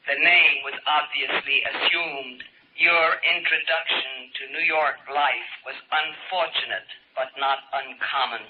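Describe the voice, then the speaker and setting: A man's voice: on the high side, steady tone, unhurried, close to an everyday voice. A man playing an impersonal city computer in a radio drama, reciting formally and without emotion in clipped official phrases. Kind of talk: 1950s radio drama acting. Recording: noisy, narrow-band 1950s radio recording.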